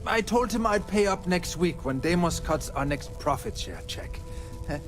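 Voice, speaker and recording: An actor playing a weary, evasive space miner in a video game, hesitant and pleading, with music behind him. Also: male voice, high voice, lively intonation, moderate pace. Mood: nervous, stalling. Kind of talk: video game character dialogue.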